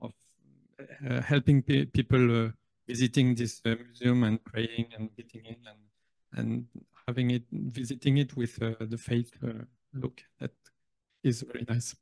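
A man commenting from the floor of a panel discussion in a conference hall, halting and full of uhs. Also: medium pitch, flat delivery, gravelly, a little mellow. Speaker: audience member.

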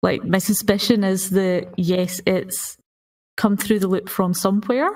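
A woman with a mid-pitched voice talks casually as a podcast co-host. She sounds set back a little from the microphone. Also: steady tone, measured pace, darkish tone, recorded from a distance. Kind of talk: casual chat.

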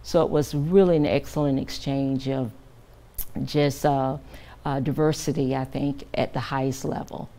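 A woman's voice: extremely low pitch, fairly even intonation, normal speed, warm tone. An older woman guest in a TV studio interview, speaking earnestly and measuredly with frequent fillers, on a lapel mic. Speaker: guest, director of a children's camp. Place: TV news studio.

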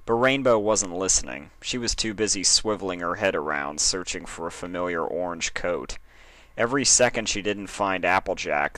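Low voiced guy reading fiction aloud, bright crisp voice, really dry recording. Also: no echo. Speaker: fan fiction reader. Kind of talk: expressive storybook narration.

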